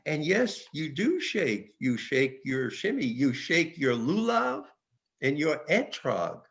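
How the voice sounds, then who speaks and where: A boastful, hammy holiday personified in amateur theater by a man. The voice sits fairly high for a man and moves slowly, pleading and joking as it sells itself. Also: big pitch swings, a bit bright, heavily acted. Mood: playful, boastful.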